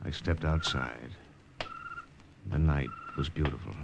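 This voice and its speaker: Low-voiced American secret agent. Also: male voice, a little slow, gravelly, heavy background noise, exaggerated voice. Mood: reflective. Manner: terse, understated. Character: wary, hard-boiled American secret agent narrating his mission. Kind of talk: old-time radio narration and acting. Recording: vintage radio drama recording with music.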